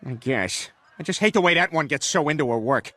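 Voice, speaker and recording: Cartoon family man, high forceful voice, blustering, childish, dim, blunt. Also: animated, fairly quick, no room sound. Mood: casual. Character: loud dim-witted middle-aged family man, brash and childish. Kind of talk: animated comedy acting.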